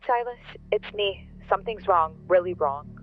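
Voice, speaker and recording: Actress as a frightened nurse on muffled phone line. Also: female voice, mid-range voice, lively intonation, moderate pace, soft background music. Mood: terrified. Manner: urgent, alarmed. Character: terrified, exasperated female nurse calling for help. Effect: telephone filter. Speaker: caller, nurse. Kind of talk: horror radio drama acting.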